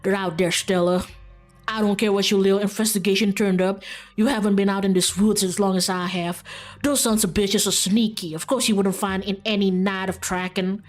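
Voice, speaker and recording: A woman's voice: mid-range voice, steady tone, moderate pace, faint music behind, close to an everyday voice. Woman doing a stubborn old Southern hunter man. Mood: grumbling, certain. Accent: Southern US drawl. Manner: folksy, grumbling, threatening.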